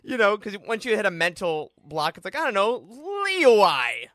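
A man's voice: high voice, big pitch swings, a little slow, pushed and bright, no echo. A man hosting a podcast, chatting casually in an enthusiastic, talkative way. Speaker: podcast host. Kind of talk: casual interview chat.

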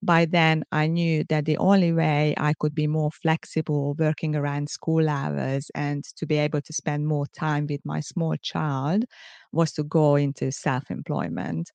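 A middle-aged woman with a Hungarian accent, hosting a solo podcast in a measured, earnest, explanatory way. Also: low voice, level tone, vocal fry, a little mellow, dry recording. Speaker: podcast host. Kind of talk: solo podcast monologue, semi-scripted.

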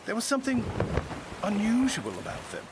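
An actor playing an uneasy, deferential naval ensign in a seafaring audio drama, speaking in a halting, hesitant way. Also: male voice, high voice, huge pitch swings, background noise, heavily acted.

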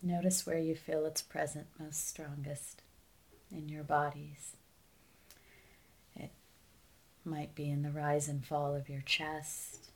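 A woman leading a guided meditation as a teacher, speaking in a calm, soothing, unhurried way with softly trailing phrase endings. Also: low-pitched.

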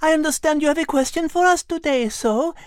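A woman's voice: sits high, a little fast, close to an everyday voice. A woman playing a coy, refined French lady animal with a French accent, hosting a children's audio story in a prim, playful way. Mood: playful, polite.

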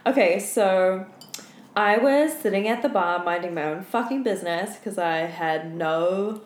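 A woman's voice: mid-range voice, a little slow. Giggly woman chatting in an echoey room. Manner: giggly, playful, swearing. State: tired after a night without sleep. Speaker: podcast co-host. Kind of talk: casual chat and storytelling between friends. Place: indoor room at home.

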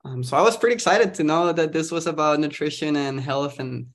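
Upbeat young man, higher voice. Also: a little fast. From Costa Rica. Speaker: guest, startup founder. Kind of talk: casual interview chat.

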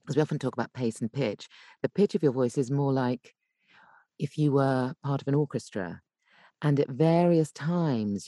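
A woman explaining conversationally as a podcast guest and voice coach, expressive and emphatic, using deliberate pauses. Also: very deep voice, no room sound, clean studio sound.

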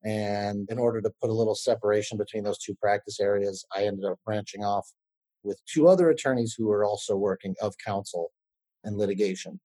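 A man in his thirties, an attorney giving a podcast interview, answering in a measured way with fillers and restarts. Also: lowish voice, steady tone, dark tone, poor audio.

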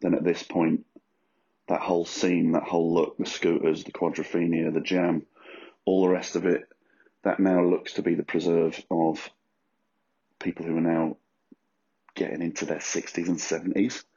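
A man hosting a podcast, with a husky, rough voice, rambling in a crude, self-mocking way. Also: deep voice, level tone, moderate pace, long pauses, mellow. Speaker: podcast host. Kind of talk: rambling, jokey solo podcast chat. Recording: dull lo-fi recording.

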